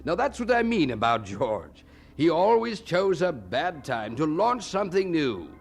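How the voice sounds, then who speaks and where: A man's voice: on the high side, big pitch swings, measured pace, creaky, breathy edge, exaggerated voice. A man playing a candid, wryly puzzled preacher who narrates his memories in a radio drama, reflective and conversational.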